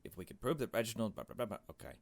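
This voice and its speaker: Man, low voice, dry close sound, casual gaming commentary, thinking aloud, jokey and slangy. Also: animated, very fast, dry recording. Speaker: gaming video host. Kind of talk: let's play commentary, reading dialogue aloud with casual asides.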